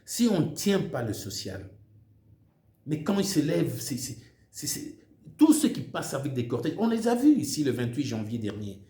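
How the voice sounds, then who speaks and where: A man's voice: medium pitch, sing-song, normal speed, mellow, reverberant. An older man with a Congolese French accent, whose first language is Swahili, giving an impassioned political monologue with emphatic repetitions. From Democratic Republic of the Congo. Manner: emphatic, repeats words while searching for them. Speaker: political speaker.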